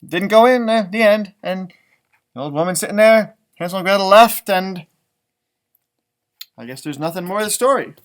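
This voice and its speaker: A man hosting a children's storybook read-aloud, expressive and playful, adding chatty asides and wondering aloud. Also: high voice, moderate pace, pauses often. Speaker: storytime read-aloud host, music teacher.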